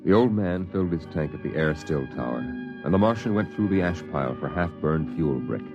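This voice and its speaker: A man with a very deep voice narrates the story in a calm, measured way. The sound is dull and lo-fi, like an old radio broadcast. Music plays clearly underneath. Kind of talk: scripted first-person narration.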